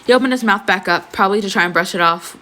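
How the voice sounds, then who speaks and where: A woman's voice: medium pitch, fast talker, sharp tone. A young adult woman reading fan fiction as an amateur narrator. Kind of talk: expressive scripted story narration, casual asides.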